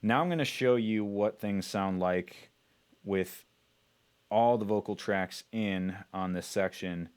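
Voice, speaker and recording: A man's voice: low voice, clean tone. A man talking casually as he explains his music production work in an informal, instructional way. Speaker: music producer presenting a tutorial.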